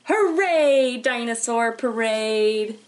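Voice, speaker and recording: Female children's storytime host: high, lively sing-song delivery, unhurried, full of wide-eyed questions. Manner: animated, sing-song, exaggerated wonder, asking questions. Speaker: children's librarian hosting storytime. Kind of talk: interactive storytime for young children.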